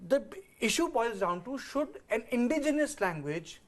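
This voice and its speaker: A man's voice: very high-pitched, very animated, normal speed. A man making a professorial argument in a TV debate, with restarts and lists. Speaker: debate panelist.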